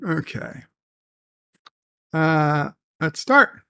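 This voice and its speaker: A man's voice: on the high side, animated, drawn-out words, sharp tone, no room sound, clean studio sound. A man from New hosting a casual stream, reading viewer messages and rambling with many self-corrections. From New York City. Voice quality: gravelly. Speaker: stream host.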